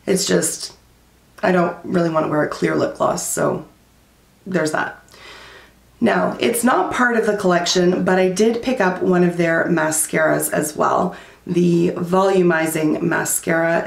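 A woman in her thirties giving a candid, chatty beauty product review at home, her voice going hoarse. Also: lowish voice, reverberant. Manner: chatty and candid, many likes and fillers. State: losing her voice. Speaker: beauty vlogger. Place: small room at home, indoors.

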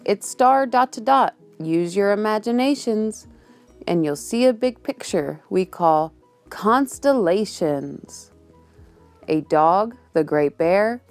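A woman reading a rhyming children's book aloud with a measured, rhythmic delivery. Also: mid-range voice, darkish tone. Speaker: storybook reader. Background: faint music.

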